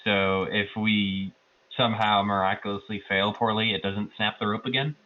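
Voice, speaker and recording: A man's voice: on the low side, flat delivery, normal speed, lo-fi sound. A man playing a tabletop roleplaying game, improvising casually and thinking aloud in a hesitant way.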